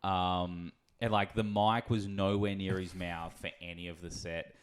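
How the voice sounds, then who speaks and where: A man's voice: sits low, little pitch movement, measured pace, clean tone. A man hosting a podcast in casual chat, rambling through stories and laughing as he talks. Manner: rambling storyteller, laughs while talking. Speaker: podcast host.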